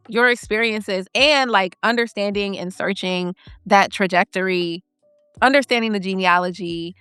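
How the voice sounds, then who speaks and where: Woman, podcast host, slow unhurried pace, smooth clear voice, searching questions. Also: medium pitch, fairly bright tone, dry recording, studio quality. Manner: searching, builds questions aloud, light laughs. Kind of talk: interview questions.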